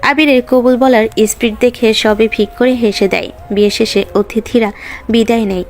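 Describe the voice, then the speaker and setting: High-voiced woman narrating a love story. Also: fairly even intonation, moderate pace, a little mellow, soft background music. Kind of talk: scripted romantic story narration.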